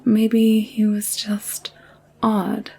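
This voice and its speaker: A woman playing an anxious, secretive young alien nurse in an intimate audio drama, confiding in a hushed, hesitant way. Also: medium pitch, monotone, drawn-out words, vocal fry, husky, exaggerated voice. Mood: defensive, earnest. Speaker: voice actor in audio roleplay.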